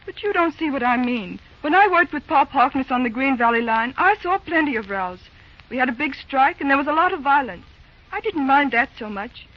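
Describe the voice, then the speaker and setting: Spirited young heroine for golden-age radio; high voice, earnest and independent, muffled vintage sound. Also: female voice, expressive, fairly quick. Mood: pained. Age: young adult. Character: spirited, determined, independent young career woman. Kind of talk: 1940s radio drama acting. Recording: muffled old radio recording.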